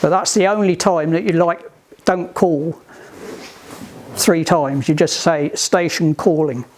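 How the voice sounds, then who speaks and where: A man giving informal, practical instruction to a group, halting and often restarting his phrases. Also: a little high, steady tone, slight background noise. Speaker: instructor at a sailing club radio session. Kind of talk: informal instructional talk with questions.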